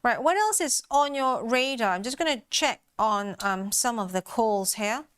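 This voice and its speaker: A woman's voice: on the high side, animated, unhurried, fairly bright tone, smooth. A woman hosting a live trading show, chatting through stock moves with many fillers and an upbeat manner. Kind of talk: live market commentary chat.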